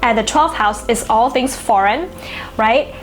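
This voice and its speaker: A woman's voice: fairly high pitch, measured pace, low-quality recording. Musing woman, native Thai speaker. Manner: musing, filled with "you know" and "like". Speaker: astrologer. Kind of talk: reflective casual talk to camera.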